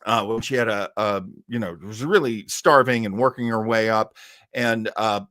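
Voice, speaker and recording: A middle-aged man hosting a show with casual, off-the-cuff commentary and halting, conversational asides. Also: fairly low pitch, fairly even intonation, fairly bright tone, dry recording, studio quality. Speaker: show host.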